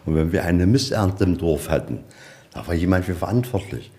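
A man's voice: sits low, lively intonation, a little fast, dark tone. A man working as a museum guide, telling stories informally and folksily, often posing questions and answering them himself. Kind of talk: informal guided tour storytelling. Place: inside a museum.

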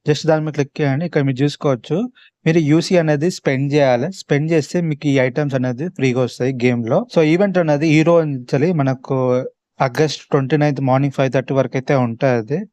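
A man's voice: on the high side, fairly even intonation, fast, a little mellow, no echo. A man from India with a Telangana Telugu accent, giving a casual, instructional walkthrough as a gaming YouTuber.

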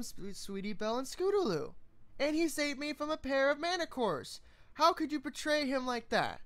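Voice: mid-range voice, fairly bright tone, pitched above the performer's own voice. An amateur reader voicing bickering young female cartoon ponies in a story, exasperated and argumentative.

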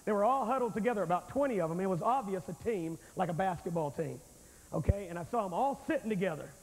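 A man in his forties preaching a revival sermon on a large church stage with a handheld microphone, telling a story in an animated, confrontational way. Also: high voice, fast. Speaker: evangelist preacher. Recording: long hall reverb, old VHS tape.

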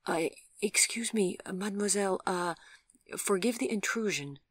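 A woman's voice: mid-range voice, moderate pace, no room sound, studio quality, pitched above the performer's own voice, close to an everyday voice. A woman voicing an awkward, courteous, apologetic man in an audiobook, speaking with hesitant, formal politeness. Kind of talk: audiobook character voice.

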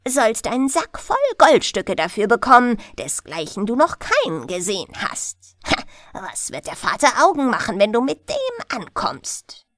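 A woman's voice: a little high, wildly swooping pitch, unhurried, a bit bright, dry recording, heavily acted. A woman voicing a sly, greedy old crone of the forest in a bedtime fairy tale, coaxing and cackling. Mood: whispering, cajoling.

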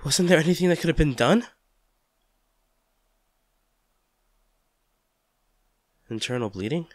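A man playing an anguished, tender lover comforting an injured partner in a hospital audio roleplay, speaking haltingly and with emotion. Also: a little high, expressive, dry recording, clean studio sound. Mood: shocked, anxious. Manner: halting, stammering, emotional delivery. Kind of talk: audio roleplay drama, one-sided dialogue.

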